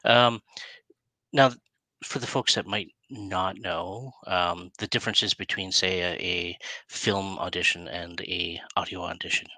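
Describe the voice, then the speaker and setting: Middle-aged male interview host. Low, crisp-edged voice, close dry headset sound, informal halting questions. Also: fairly even intonation, pushed and bright, no echo, low-quality recording. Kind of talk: casual interviewing. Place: plain room indoors. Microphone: headset on a video call.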